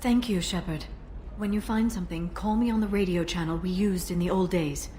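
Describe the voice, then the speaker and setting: Measured actress as a determined female broker. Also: lowish voice, fairly even intonation, mellow, lightly acted. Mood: focused. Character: composed, determined female alien information broker. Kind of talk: scripted video game dialogue. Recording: noisy ambient background.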